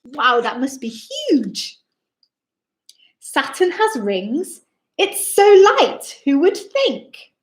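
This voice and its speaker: Very high, animated woman reading to children. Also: extremely slow. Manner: theatrical, exclaiming, addresses young listeners directly. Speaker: children's storytime host reading a picture book aloud. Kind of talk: expressive rhyming read-aloud for young children. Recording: roomy, echoing indoor sound.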